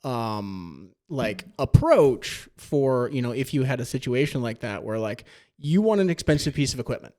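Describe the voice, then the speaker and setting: A man's voice: mid-range voice, lively intonation, normal speed, dark tone. A man co-hosting a podcast in casual discussion, thinking aloud in loose phrases and posing questions. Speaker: podcast co-host.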